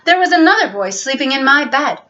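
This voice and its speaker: A woman's voice: high-pitched, big pitch swings, a little fast, sharp tone, highs cut off, voice pushed up, heavily acted. A woman voicing a proud, wounded boy who refuses to grow up, in a storybook reading. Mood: bitter, hurt. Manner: emphatic, stubborn.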